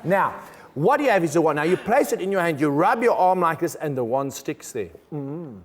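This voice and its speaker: A German-born man hosts a magic show on television and keeps up a stream of jokes while he works the cards. His voice sits a bit high and swings widely in pitch. A long studio reverb hangs behind him. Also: very animated. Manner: chatty, jokey, with quick laughs. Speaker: TV magician host. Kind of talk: comic magic show patter. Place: TV studio with audience.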